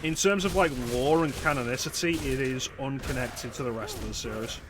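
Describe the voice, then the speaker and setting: A man's voice: medium pitch, fairly quick, noisy background. A man streaming a video game, chatting wryly and answering his viewers. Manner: wry, chatty, answers chat. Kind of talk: casual chat while playing. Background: game music.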